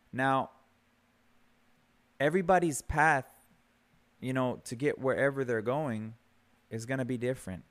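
A man in his thirties hosting a podcast, giving an earnest, conversational motivational talk, often repeating words as he builds a point. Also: mid-range voice. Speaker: podcast host. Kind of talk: motivational monologue.

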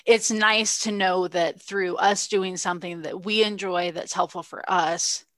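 A woman's voice: on the low side, steady tone, measured pace, a bit bright, no room sound. A woman co-hosting a podcast, talking in a reflective, earnest way with frequent restarts. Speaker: podcast co-host. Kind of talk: casual chat.

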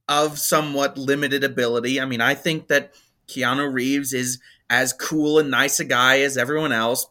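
A man's voice: medium pitch, sharp tone. A man in his twenties, a podcast co-host, rambling through a casual film review with plenty of fillers. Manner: rambling, hesitant, many fillers. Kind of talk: casual movie review chat.

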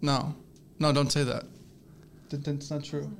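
A man's voice: on the high side, little pitch movement, measured pace, vocal fry, slight background noise. A man in his twenties in casual podcast chat, rambling with lots of fillers and restarts, laughing easily and getting flustered when teased. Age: 20s. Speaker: podcast co-host.

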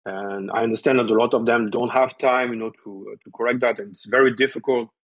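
Interview guest: man, French accent, husky, animated and talky. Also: medium pitch, fast talker, lo-fi sound. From southern France. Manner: lively, stresses words up and down, many fillers like "you know". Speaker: guest, developer relations. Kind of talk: casual interview talk.

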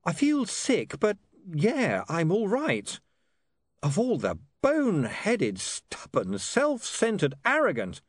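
A haughty young newspaperman from an audiobook, performed by a male reader, nervy yet defiant. He talks in a high, swooping voice with clipped arrogance, recorded close and dry. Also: wildly swooping pitch, takes it slowly, dry recording, exaggerated voice. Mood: shaken, indignant. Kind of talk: audiobook character dialogue.

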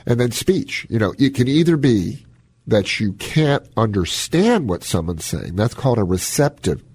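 A man with a low, rough-edged husky voice co-hosts health radio. His pitch swings widely as he tells folksy anecdotes, and the studio sound is close and dry. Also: very animated, moderate pace, darkish tone, dry recording. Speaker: radio health show co-host. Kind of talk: casual talk and anecdotes.

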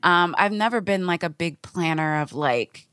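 A woman's voice: fairly low pitch, normal speed, fairly bright tone, clear, resonant tone, dry recording, studio quality. A woman hosting a podcast in casual, slangy chat with a friend, full of "like" and "you know" and often laughing. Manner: laughs often while talking. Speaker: podcast host.

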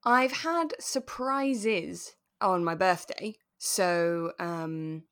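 Lower-pitched British woman chatting. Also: a bit bright. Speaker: podcast co-host. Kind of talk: relaxed chat reviewing a radio drama.

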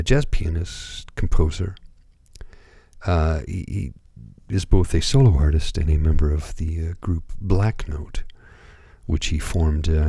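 Very deep, slow hoarse man. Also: mellow, no room sound, studio quality. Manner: hesitant, many ums and pauses. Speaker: radio show host. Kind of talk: slow, calm radio presentation.

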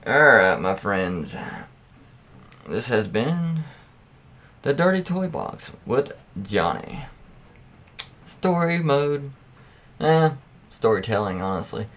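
Slow-paced man, casual and profane storyteller. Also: medium pitch, very animated, a bit bright. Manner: informal, profane, reacts with interjections. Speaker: podcast host. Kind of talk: casual read-aloud of anecdotes with offhand commentary.

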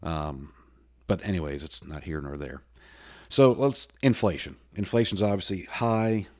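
A middle-aged American man hosting a solo podcast, talking in a loud, folksy, rambling way with many restarts. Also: low-pitched, a little slow. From United States. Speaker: podcast host. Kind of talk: solo casual podcast talk.

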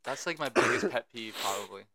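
A man's voice: medium pitch, lively intonation, fairly quick, pushed and bright. A young man co-hosting a casual podcast on a close microphone, laid-back and swearing casually as he tells stories. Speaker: podcast co-host. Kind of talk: casual chat. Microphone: podcast microphone close to the face.

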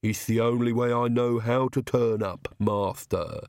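A man's voice: medium pitch, steady tone, measured pace, creaky, a little mellow, dry recording, clean studio sound. A man voicing a deferential, old-fashioned gothic servant in a storybook, servile in manner.

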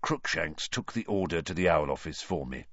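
A man voicing a fugitive wizard godfather reading out his letter in a measured, earnest way. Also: rumbling low, lively intonation, a bit bright, dry recording, dull audio, voice pushed down, heavily acted.

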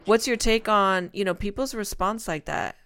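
A woman's voice: mid-range voice, normal speed, crowd noise. A woman leading a casual panel discussion, asking questions in a conversational way with many fillers.

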